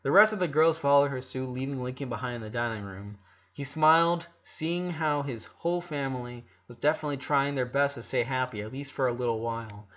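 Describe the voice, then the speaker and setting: A man's voice: medium pitch, fairly quick, fairly bright tone, dull audio. A man narrating a scripted story aloud, stumbling over a word now and then. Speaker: narrator reading a fan fiction story aloud.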